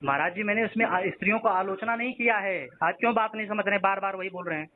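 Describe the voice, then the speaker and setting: A man with a notably high voice argues back over the phone. He challenges and cuts in, repeating his questions and demands. The audio is thin and narrow, like a phone recording. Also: fast. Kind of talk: argumentative phone conversation. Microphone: phone call. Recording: tinny phone-line call recording.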